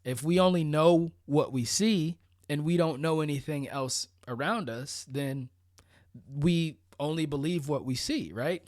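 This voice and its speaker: Higher-voiced American man, dry close sound. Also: unhurried, darkish tone, no echo. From Florida, United States. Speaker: vlogger. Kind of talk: casual motivational monologue.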